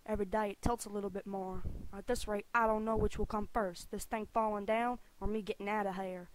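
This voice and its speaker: A woman plays a bitter, swearing pilot captain in a game fandub. He is brash and grumbling, with a redneck way of talking and a gruff temper. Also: mid-range voice, steady tone, a little fast, a little hiss or hum, natural voice, poor audio. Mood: bitter, angry. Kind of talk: anime-style game fandub audition.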